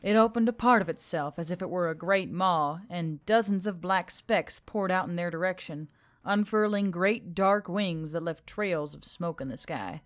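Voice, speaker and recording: A woman's voice: lowish voice, moderate pace. A woman narrator reading a dramatic action story from a script. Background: faint background music.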